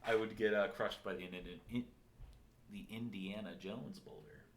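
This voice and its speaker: A man with a loud voice in casual, joking podcast chat, rambling and poking fun at himself with crude humor. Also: steady tone, normal speed. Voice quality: loud, booming voice. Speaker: podcast host.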